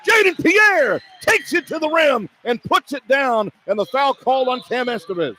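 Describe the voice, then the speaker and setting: A high-voiced man calls basketball live on the radio in short, clipped bursts that follow the action. He works as the play-by-play announcer, with a light wash of arena noise around his voice. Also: moderate pace. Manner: clipped phrases, animated on big plays. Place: indoor college basketball arena. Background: arena ambience.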